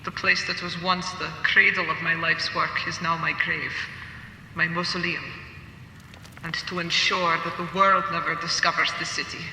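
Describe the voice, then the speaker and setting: An actor playing an embittered, mournful survivor with quiet menace, speaking in grandiose, theatrical lines in a radio drama. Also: male voice, little pitch movement. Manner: grandiose, theatrical, measured speech. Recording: echoing chamber with faint rumble noise.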